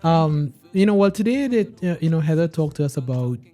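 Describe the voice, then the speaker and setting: A man's voice: a little high, animated, a little fast, mellow, faint music behind. A man hosting a podcast in an earnest, upbeat way, laughing easily. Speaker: podcast host.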